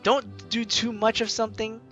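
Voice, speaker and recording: Casting: male Let's Play host, bright high voice, jokey and theatrical, music faintly underneath. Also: level tone, normal speed. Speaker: gaming video host. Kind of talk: playful Let's Play commentary and dramatic read-aloud.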